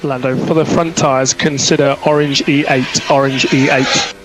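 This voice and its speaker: Even-toned male race engineer over noisy radio. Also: medium pitch, normal speed. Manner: clipped, repeats each instruction. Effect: team radio. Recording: noisy team radio, vehicle noise.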